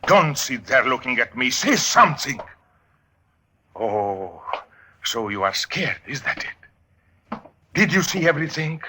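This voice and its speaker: An actor playing a desperate, menacing opera singer turned murderer in a vintage radio thriller, with dramatic, deliberate, formal phrasing. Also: male voice, mid-range voice, very animated, sharp tone, slight background noise, full character voice. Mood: frantic. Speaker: actor and first-person narrator. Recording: vintage radio recording with music.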